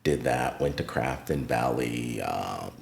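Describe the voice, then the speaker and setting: A middle-aged American man, a podcast guest and retired teacher, telling stories of his life in an unhurried, reflective way that turns lively when he recounts encounters. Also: extremely low pitch, creaky, a little hiss or hum. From United States. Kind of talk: casual interview storytelling. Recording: roomy echoey room.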